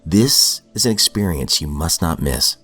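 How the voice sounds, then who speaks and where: A man with a very low voice reads recovery texts and then reflects on them in an earnest, unhurried way. He sounds a little sniffly from a cold. Faint music plays beneath him. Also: expressive, fairly bright tone, slightly rough. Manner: earnest, reflective, with fillers and restarts. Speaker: podcast host reading daily recovery texts. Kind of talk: reading aloud then casual reflection.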